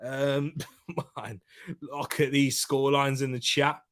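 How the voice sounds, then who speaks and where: A man's voice: mid-range voice, level tone, takes it slowly, fairly bright tone. A man hosting a casual football preview live stream, chatting loosely and reading out and joking with viewer comments. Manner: chatty, reads out viewer comments, jokey banter. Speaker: football preview show host.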